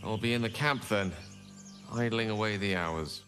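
A man's voice: lowish voice, normal speed, fairly bright tone, in a large hall, soft background music, close to an everyday voice. A man playing an erudite wizard in a fantasy game, lofty, precise and politely condescending. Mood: resigned. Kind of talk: cinematic fantasy game acting.

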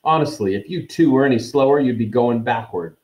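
A man's voice: mid-range voice, a little fast, darkish tone, roomy sound, voice pushed up, natural voice. A man voicing a sneering, arrogant rich schoolboy bully in a haughty, disdainful manner. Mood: scornful, boastful.

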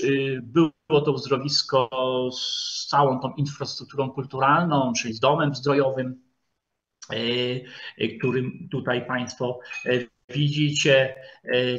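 A man giving an informal history lecture, speaking haltingly and repeating words as he goes. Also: mid-range voice, a bit bright. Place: online video call presentation with screen share. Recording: dull, lo-fi online call audio.

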